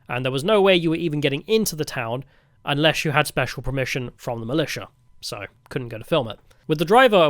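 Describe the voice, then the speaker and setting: A man telling travel stories as a vlogger in a casual, wry, deadpan way. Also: a little high, no echo, studio quality. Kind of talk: casual storytelling narration.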